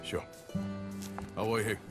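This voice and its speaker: An actor playing a gruff, sardonic American outlaw of the Old West with a dry drawl in a video game. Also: male voice, slow, over loud music, natural voice. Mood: dry, teasing. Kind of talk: video game cutscene acting.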